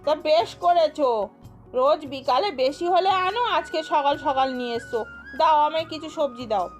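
A woman's voice: high-pitched, normal speed, lightly acted. A woman voicing a fretful, chiding village farm wife and mother in an amateur cartoon dub. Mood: bossy, homely. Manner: homely, chiding, fussy. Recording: music under the voice.

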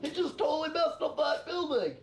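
A man's voice: extremely high pitch, expressive, fairly quick, gravelly, recorded from a distance, very noisy, voice pushed up, exaggerated voice. A man voicing a fussy young male car passenger who scolds and whines at the driver. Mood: nagging. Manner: scolding, whiny. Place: basement room. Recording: echoey room, camera mic from afar.

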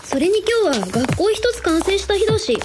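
Bubbly young woman for radio drama, played by an actress: very high, lively, music and rain behind. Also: normal speed. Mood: excited. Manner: bubbly, animated. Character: cheerful, eager young woman teasing her elders.

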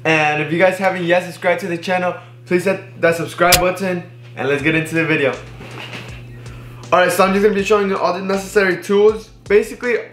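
Young man explaining in an echoey room. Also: sits high. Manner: relaxed, filler-heavy, restarts often. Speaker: barber. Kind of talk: casual tutorial. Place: indoors, living room with TV and couch.

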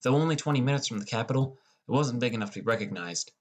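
A young man narrates an audiobook in the first person as a gloomy high school boy. His voice sits at an ordinary middle pitch, and the delivery is scripted storytelling. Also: racing.

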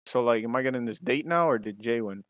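A young man from the DMV area on an online call, trolling with a deadpan, filler-heavy delivery. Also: mid-range voice, quick pace, clean tone. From DMV area, USA. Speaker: caller on a stream. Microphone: online call mic.

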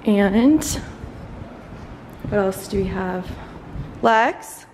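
A woman's voice: medium pitch, very slow, darkish tone, slight background noise. A young woman giving an enthusiastic, chatty tour of a holiday villa, filming herself on a phone held at arm's length and filling her talk with "like.". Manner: enthusiastic, chatty, frequent "like" fillers. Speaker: travel vlogger. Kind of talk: casual house tour vlog. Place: holiday villa, indoors and on open terraces.

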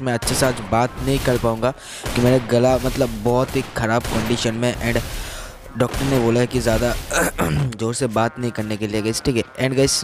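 A man's voice: medium pitch, background noise. A young man with a sore throat hosting gameplay commentary, chatty, and he keeps saying "guys". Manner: chatty, repeats "guys" a lot. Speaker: gaming channel host. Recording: commentary over loud game music.

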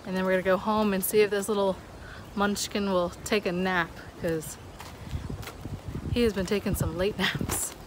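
A woman's voice: medium pitch, little pitch movement, moderate pace, a little hiss or hum. A woman in her thirties chatting casually to a phone held at arm's length while walking outside, in a rambling, hesitant, conversational way. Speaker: vlogger, mother. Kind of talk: casual outdoor vlog chat. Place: outdoors on breezy walkways and roadsides.

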